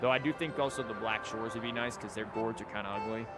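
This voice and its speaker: Man streaming games over loud music. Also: on the low side, steady tone, quick pace. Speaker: game streamer. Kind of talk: casual gameplay commentary. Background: game music.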